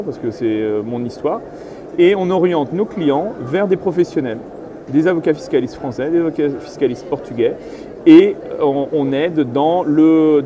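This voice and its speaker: A middle-aged man giving spontaneous interview answers as a property consultant, explaining things step by step. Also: fairly high pitch. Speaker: real estate agent and consultant being interviewed. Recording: clear urban background noise, trade fair interview.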